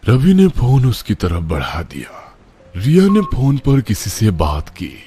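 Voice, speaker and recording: A man's voice: on the low side, wildly swooping pitch, measured pace, dark tone, faint music behind. A man narrating a scripted horror story in a dramatic, suspenseful way.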